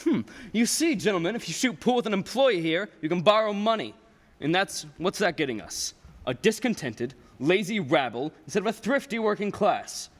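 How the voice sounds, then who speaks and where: A man's voice: sits high, very animated, normal speed. A young man playing a cold, scheming, pompous old rich businessman in a radio play. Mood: scornful, condescending. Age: young adult. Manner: pompous, condescending. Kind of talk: filmed radio play acting. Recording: echoey hall with long reverb.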